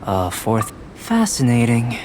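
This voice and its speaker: A man playing a calm, detached young alchemist in a video game, speaking in a measured, formal, analytical way. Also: medium pitch, slight background noise. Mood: curious, clinical. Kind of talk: video game character acting. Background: background music.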